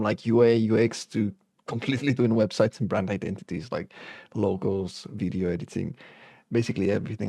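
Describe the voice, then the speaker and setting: Young man with a gravelly voice. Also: mid-range voice, a little mellow, no echo, studio quality. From Peru. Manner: casual, thinking aloud with fillers. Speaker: design student. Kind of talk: narrated design portfolio walkthrough.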